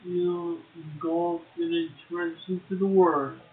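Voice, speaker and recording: A man hosting a poetry show, speaking and reading verse in a slow, halting way with drawn-out phrases. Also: a little high, steady tone. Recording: tinny phone line, echoey.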